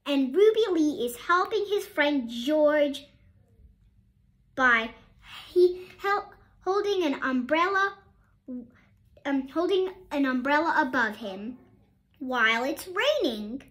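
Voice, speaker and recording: A woman reads a picture book aloud in a high, swooping voice. She takes her time and turns to young listeners with expressive questions. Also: takes it slowly, pauses often. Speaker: storybook reader.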